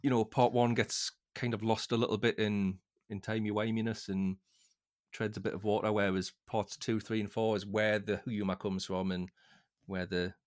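A man's voice: fairly low pitch, flat delivery, moderate pace. A man chatting casually as a fan on a podcast, rambling a little hesitantly.

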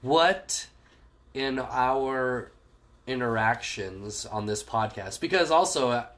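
A man's voice: mid-range voice, animated, slow pace, fairly bright tone. A man co-hosting a podcast in casual chat, talking with a stuffy nose in a joking, sarcastic way. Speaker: podcast co-host. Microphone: phone call.

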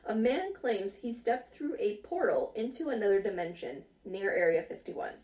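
A woman's voice: mid-range voice, big pitch swings, normal speed, darkish tone, recorded from a distance. A woman hosting a casual podcast chat, reading out odd news stories, giggling often and swearing casually. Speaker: podcast host.

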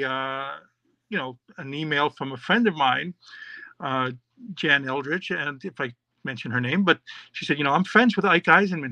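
Middle-aged man, guest podcaster; bright, slightly high voice, chatty and rambling, dry close mic. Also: no room sound. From New York. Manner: chatty, rambling, many fillers and restarts. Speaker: podcast host and children's author. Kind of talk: casual interview answers.

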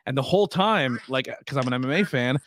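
A man's voice: medium pitch, animated, fast talker, fairly bright tone. A man hosting a casual podcast interview, telling stories in an easygoing way. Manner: easygoing, storytelling, laughs between points. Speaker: podcast host and wrestling color commentator.

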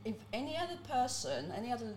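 Relaxed young woman with faint noise. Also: a little high, fast. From Netherlands. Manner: relaxed, candid storytelling. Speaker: guest. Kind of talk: casual interview chat.

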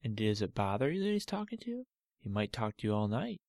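Patient, soothing dad in a bedtime story, read by a man, a bit lifted in pitch, gravelly texture. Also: very animated, fast, a little mellow, no echo, studio quality. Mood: coaxing. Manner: soothing, reassuring. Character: patient gentle father, adult man.

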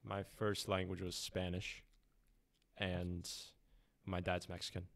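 A young man hosts a casual student podcast in an easygoing, teasing way. His voice sits a little low, and he trades stories freely with his guest. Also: flat delivery, slow pace, vocal fry. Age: young adult. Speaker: podcast host. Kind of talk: casual chat.

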